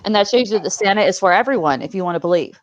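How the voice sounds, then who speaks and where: A woman's voice: mid-range voice, lively intonation, very fast, highs cut off. A woman panelist chatting casually and enthusiastically about films, with emphatic delivery.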